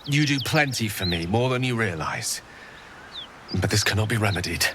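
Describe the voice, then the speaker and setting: An actor playing a grave, courteous, burdened adventurer in a video game, speaking in florid, measured phrases with a wry edge. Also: male voice, lowish voice, lively intonation, noisy background, close to an everyday voice. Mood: troubled. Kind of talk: video game character acting.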